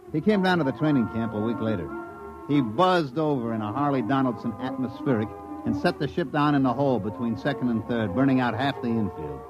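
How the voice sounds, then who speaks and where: Wry, folksy baseball man, medium pitch, acted out. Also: muffled recording, close to an everyday voice. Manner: dry, colloquial. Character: folksy, wry male baseball coach telling the story. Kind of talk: 1950s radio drama narration and dialogue.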